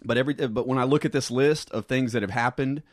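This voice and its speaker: A man's voice: mid-range voice, fast, no echo, clean studio sound. A man in his late thirties hosting a casual group chat, rambling and restarting his sentences. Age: late 30s. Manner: rambling, with many restarts and fillers. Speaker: podcast host.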